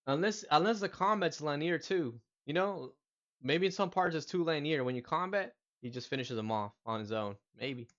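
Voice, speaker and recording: A man hosting a gaming reaction video, excitedly commenting over clips and calling everyone "dude". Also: a little high, moderate pace, lo-fi sound. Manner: excitable, talks over the clip, says "dude" often. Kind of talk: casual live reaction commentary.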